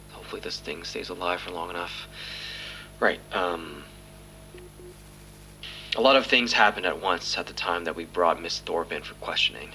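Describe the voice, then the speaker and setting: An actor playing a weary, brooding police detective hesitantly recording confessional case tapes in an audio drama, on a noisy tape with hum. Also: male voice, low-pitched, gravelly, sharp tone, full character voice.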